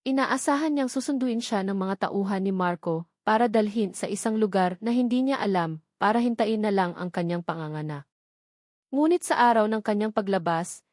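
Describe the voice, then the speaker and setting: A woman's voice: mid-range voice, darkish tone, no room sound. Woman narrating drama, dry close sound. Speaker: story narrator.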